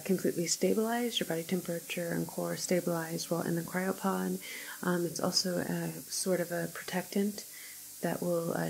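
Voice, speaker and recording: A woman playing a calm, clinical doctor in an ASMR roleplay, soft-spoken and sometimes whispering, explaining things in a hesitant, measured way. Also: lowish voice, vocal fry, slight background noise, poor audio. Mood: concerned, clinical. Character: calm, clinical woman doctor at an alien-virus quarantine facility.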